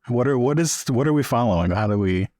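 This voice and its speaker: A man's voice: mid-range voice, lively intonation, quick pace, darkish tone, no echo. A man joking casually as a player in a tabletop game.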